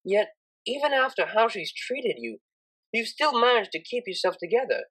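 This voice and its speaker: Woman voicing a kindly, expressive male pony tutor. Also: a little high, moderate pace, husky, pitched above the performer's own voice, heavily acted, poor audio. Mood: sincere, admiring.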